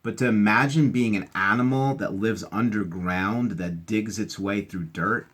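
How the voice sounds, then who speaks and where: Male children's storytime host, lower register, informal and chatty, expressive, talks directly to young listeners. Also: measured pace, slightly rough. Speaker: read-aloud host for children. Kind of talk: storybook read-aloud with casual asides.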